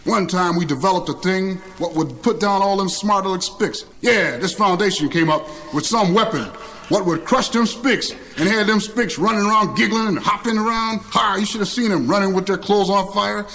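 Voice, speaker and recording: A man's voice: high-pitched, moderate pace, fairly bright tone. A man voicing a sickly, boastful old tyrant in a satirical story, chummy and slangy. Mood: boastful, griping. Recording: clearly noisy recording.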